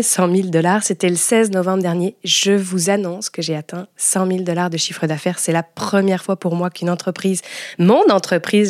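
Woman podcaster, expressive, very dry close sound. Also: medium pitch, dry recording. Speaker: podcast host and entrepreneur. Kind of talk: personal storytelling to podcast listeners.

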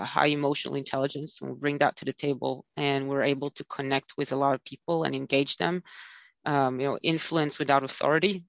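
A woman's voice: sits low, no echo, muffled recording. A woman speaking as a panelist in an earnest, conversational way, often saying "you know". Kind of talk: panel discussion.

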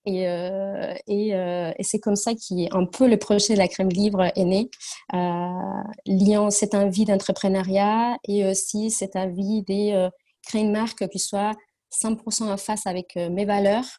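An Argentine woman in her forties speaks French with a clear accent. Her voice is mellow and warm, at an average pitch. She talks in a halting way, pausing often to find her words. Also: flat delivery. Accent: Argentine Spanish. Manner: hesitant, many fillers and restarts while searching for French words. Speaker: guest entrepreneur. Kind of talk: casual interview talk.

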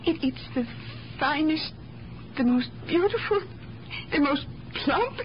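Radio drama role: lonely older mother, slow, high, fragile, apologetic delivery. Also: expressive. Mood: timid, apologetic. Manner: hesitant, faltering, apologetic. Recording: muffled, noisy 1970s radio broadcast.

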